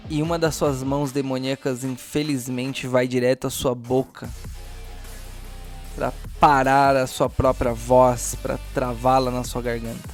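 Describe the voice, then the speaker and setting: A Brazilian man narrating a horror tabletop game as game master, moving between dramatic description and casual slangy asides. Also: medium pitch. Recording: music playing behind the voice. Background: music.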